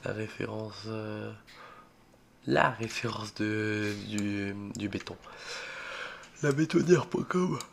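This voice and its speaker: A young man talks his way through a horror game with a head cold that roughens his fairly low, gravelly voice. He jokes, mutters and swears when startled, admitting he is scared. Faint keyboard clicks and typing sit behind him. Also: slow, long pauses, a little hiss or hum. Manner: jokey, easily spooked, mutters reactions and swears. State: has a cold, voice a bit rough. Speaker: gaming video creator. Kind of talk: solo horror game let's play commentary.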